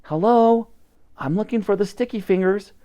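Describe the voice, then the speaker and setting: A storyteller voicing a brave young orphan girl who pleads and calls out in a high voice. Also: normal speed, dark tone, lo-fi sound, lightly acted. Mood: calling out.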